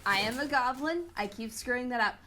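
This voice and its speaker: A woman player improvises a character description in casual, conversational speech. Her voice sits a little high, and there is faint noise on the recording. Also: fairly quick, sharp tone. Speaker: tabletop game player.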